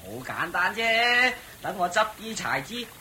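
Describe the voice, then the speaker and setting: A chatty, easygoing male traveller and peacemaker in a Cantonese radio drama. His voice is high and bright, with Hong Kong Cantonese speech. The recording carries faint noise. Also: big pitch swings, unhurried, heavily acted. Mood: placating, brisk. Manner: chatty, placating.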